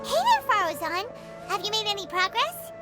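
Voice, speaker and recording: A woman's voice: very high-pitched, big pitch swings, normal speed, music in the background. A woman voicing a tiny, perky, childlike companion in an anime-style video game, bubbly and chirpy. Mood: cheerful, excited.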